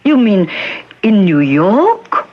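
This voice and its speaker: An actress playing a kindly, bossy, fretful middle-aged Czech shopkeeper with emphatic, insistent delivery in a 1970s radio drama. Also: female voice, mid-range voice, sing-song, very slow, full character voice. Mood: agitated, pressing. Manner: emphatic, insistent questioning in foreign phrasing. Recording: dull vintage radio broadcast recording.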